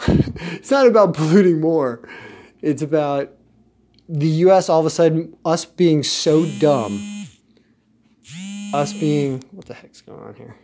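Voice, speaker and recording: A man's voice: on the high side, expressive, takes it slowly, long pauses, warm tone. A man ranting in a loose, joking way, laughing now and then and restarting his sentences. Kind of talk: casual rant.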